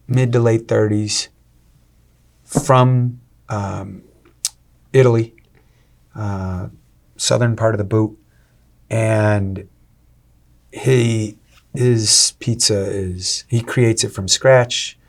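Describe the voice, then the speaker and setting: A man, a restaurant owner talking as an interview guest, energetic and salesy with many fillers and restarts. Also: fairly low pitch. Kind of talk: casual interview talk.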